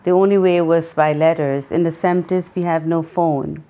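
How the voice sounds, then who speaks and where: A woman in her sixties from Guyana, answering interview questions earnestly, pausing often with ums. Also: deep voice, fairly even intonation, warm tone, lo-fi sound. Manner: earnest, with many pauses and ums. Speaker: guest, memoir author.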